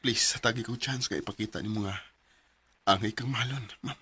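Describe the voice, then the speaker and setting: A man's voice: fairly low pitch, fairly quick, bright, forceful tone, slight background noise. A man playing an earnest, lovestruck young man with a Cebuano accent in a Philippine radio drama. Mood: tender pleading.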